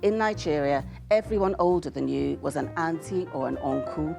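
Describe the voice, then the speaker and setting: A woman reads in a measured, expressive audiobook style. Her voice sits fairly low, with a marked creak at the edges. Music plays clearly behind her. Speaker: author. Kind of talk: scripted talk and audiobook-style reading. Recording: music bed under the voice.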